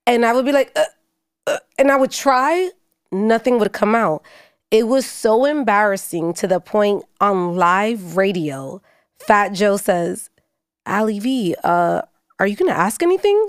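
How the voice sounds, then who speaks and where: A woman hosting a podcast, interviewing guests in casual conversation and telling lively stories. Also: medium pitch, unhurried, smooth, no room sound, clean studio sound. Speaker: podcast host.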